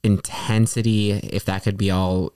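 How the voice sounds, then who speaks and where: A man's voice: on the low side, little pitch movement, unhurried, a little mellow, clear, resonant tone, dry recording. A young man from New York in casual conversation, rambling with lots of "like" and restarts. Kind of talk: casual podcast chat.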